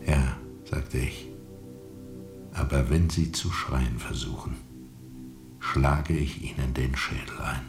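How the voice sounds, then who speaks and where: An actor playing a haunted steamer captain who tells of a dark journey in a brooding, insistent radio play monologue. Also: male voice, very deep voice, fairly even intonation, slow pace, long pauses, over music. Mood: haunted, hushed. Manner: brooding, insistent, dramatic repetition.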